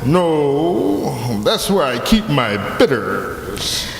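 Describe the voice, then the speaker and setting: A man's voice: mid-range voice, sing-song, drawn-out words, gravelly, full character voice. A man acting a boastful, pompous, drawling tippler of a golfer in an old-time radio comedy. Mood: breezy. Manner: pompous, drawling, florid, grumbling. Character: boastful, big-nosed, tippling old-style comic golfer. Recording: echoey hall, noisy background.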